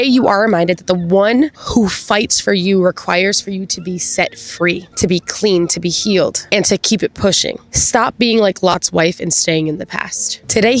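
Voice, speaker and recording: A young woman with a congested voice hosting a faith vlog outdoors in a park, chatting, laughing and praying earnestly into a small handheld mic. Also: on the low side. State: congested from sinus infection. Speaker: faith vlogger and host. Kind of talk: casual devotional talk and prayer. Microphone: handheld clip-on mic held near mouth.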